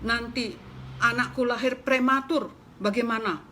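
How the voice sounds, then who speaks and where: A woman's voice: on the high side, steady tone, a little slow, pushed and bright, a little hiss or hum, voice pushed up. A woman voicing an angry mother hen sitting on her eggs, scolding indignantly.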